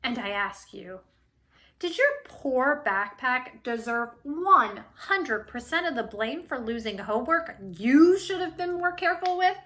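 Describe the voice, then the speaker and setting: A woman's voice: high-pitched, animated, measured pace, bright, forceful tone, full character voice. A woman voicing an indignant, preachy talking pen from a storybook, scolding a kid with pompous, theatrical emphasis.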